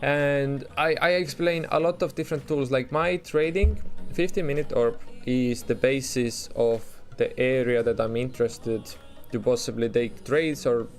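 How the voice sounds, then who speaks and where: A man's voice: medium pitch, measured pace, hardly any pauses, soft background music. A man with an Estonian accent, talking in a loose, chatty way as he streams live and answers his chat, with many restarts and fillers. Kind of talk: casual live-stream commentary.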